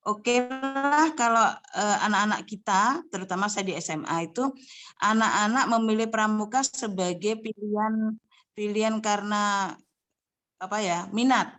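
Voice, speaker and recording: A woman scout leader asking a question over a video call, slow and searching for words. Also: mid-range voice, fairly bright tone, soft background music. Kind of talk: question from the audience.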